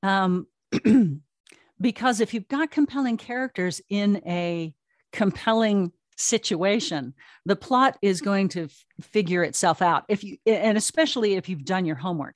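A woman talking casually as an interview guest, telling things in an animated storyteller way. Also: medium pitch, no echo, clean studio sound. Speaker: interview guest, audio drama writer and producer.

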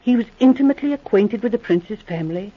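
A proper, earnest young woman secret agent in a 1940s radio drama, her voice a touch husky and full of lively rises and falls. She talks briskly and a little primly. It all comes through a dull, lo-fi old radio transcription. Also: medium pitch. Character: Miss Guest, sharp-minded young woman secret agent.

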